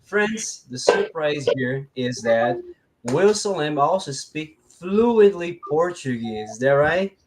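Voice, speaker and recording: A man's voice: fairly high pitch, very animated, takes it slowly, slight background noise, low-quality recording. A man with a Brazilian accent hosts a live podcast in a casual, conversational style. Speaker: podcast host.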